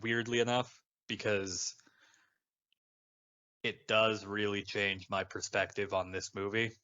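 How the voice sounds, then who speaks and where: A man in his twenties with a fairly low voice, trading profane jokes with his co-hosts. The recording sounds muffled and lo-fi. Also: level tone, slow pace, sharp tone, smooth. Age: 20s. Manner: teasing, profane banter. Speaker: podcast co-host. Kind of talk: casual chat.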